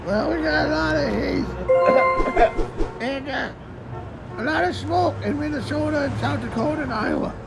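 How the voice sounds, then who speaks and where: A man with a very high, bouncy, raspy voice chats playfully while playing a puzzle game, coughing now and then. He speaks unhurriedly with a gravelly edge. Game music plays clearly underneath. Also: animated, unhurried, low-quality recording. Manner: goofy, chatty, coughing. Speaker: gamer voicing the state pieces.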